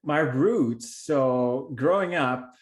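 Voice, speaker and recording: A middle-aged Filipino man speaks as a podcast guest and tells his story earnestly, often restarting his sentences. His voice sits at an ordinary pitch. The room around him rings with echo. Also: animated, extremely slow. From Philippines. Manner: earnest, many restarts and fillers. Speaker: podcast guest, real estate investor. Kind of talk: conversational interview storytelling. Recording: echoey room.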